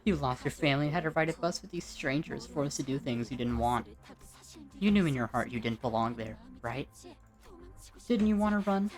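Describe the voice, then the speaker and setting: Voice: mid-range voice, lively intonation, a little fast, soft background music, close to an everyday voice. A performer voicing a gloating, fanatical female villain of monstrous flesh, in a taunting, theatrical way.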